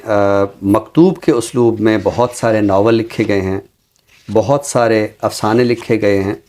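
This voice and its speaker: A man's voice: mid-range voice, darkish tone. Pakistani man explaining literature in scholarly fashion. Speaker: literary scholar presenting books on a talk show.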